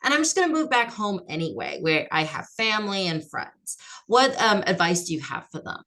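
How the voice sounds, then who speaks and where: A woman's voice: medium pitch, big pitch swings, brisk, sharp tone. A woman hosting a casual interview chat, friendly and chatty, with plenty of fillers as she asks questions. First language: not French. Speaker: host.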